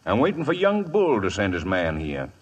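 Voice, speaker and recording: An actor playing a cool, greedy gun trader in a radio western, husky and insinuatingly polite. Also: male voice, lowish voice, huge pitch swings, moderate pace, highs cut off, heavily acted. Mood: sly, insinuating. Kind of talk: 1950s radio western acting.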